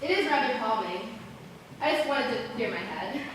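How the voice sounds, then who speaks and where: High-voiced wry, curious girl acted by a woman. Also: expressive, moderate pace, lightly acted. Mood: friendly, probing. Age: teens or young adult. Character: curious, wry, searching girl who comforts forest visitors. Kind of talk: school stage play acting. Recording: echoey stage from far off, noisy.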